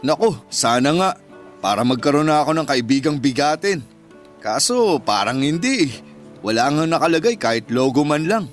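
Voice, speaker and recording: A man's voice: fairly high pitch, very animated, moderate pace, faint music behind. An actor playing a chummy, joking male office workmate with a Filipino accent, bantering colloquially in a radio drama. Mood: friendly, joking.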